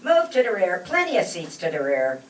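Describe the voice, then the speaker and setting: A woman playing a brisk, officious bus driver who calls out orders, in radio comedy style. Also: lowish voice, huge pitch swings. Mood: brisk, commanding. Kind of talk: old-time radio comedy acting. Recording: echoey distant live stage, slight noise.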